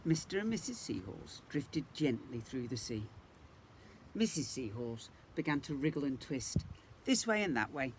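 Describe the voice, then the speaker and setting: Lively man reading a picture book, noisy recording. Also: medium pitch, normal speed. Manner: questioning, engaging asides to listeners. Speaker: teacher reading to young children. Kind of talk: expressive picture-book storytelling with asides.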